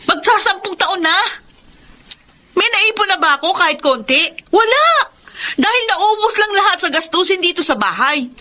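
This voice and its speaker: A woman's voice: very high voice, animated, moderate pace, sharp tone, exaggerated voice. A woman with a Filipino accent playing a weary, exasperated breadwinner daughter in her thirties in a radio drama, emotional and nagging. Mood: exasperated, scolding.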